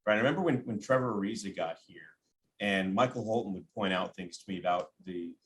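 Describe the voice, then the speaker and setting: Low-voiced man, sports radio announcer as guest. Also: animated, fast talker, fairly bright tone, slight background noise. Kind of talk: conversational sports analysis.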